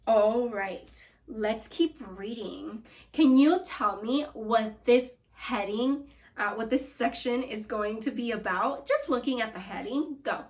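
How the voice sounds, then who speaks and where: Woman, teacher, slow deliberate read-aloud, fairly high voice, calls kids scholars. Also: a little mellow. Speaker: second grade teacher. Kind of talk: read-aloud lesson for children.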